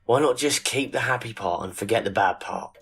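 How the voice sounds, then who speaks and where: A man's voice: mid-range voice, expressive, a little fast, vocal fry, a bit bright. A man playing a loyal, wry companion who also narrates in an audio drama. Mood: curious, wry. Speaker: voice actor in an amateur audio drama.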